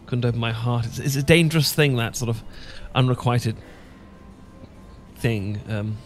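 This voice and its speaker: A man's voice: medium pitch, normal speed, soft background music, close to an everyday voice. A man with a British accent playing an awkward, sentimental English professor in a romantic ASMR roleplay, stammering and hesitant. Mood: wistful. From Britain. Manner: stammering, hesitant, full of false starts. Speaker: audio roleplay performer.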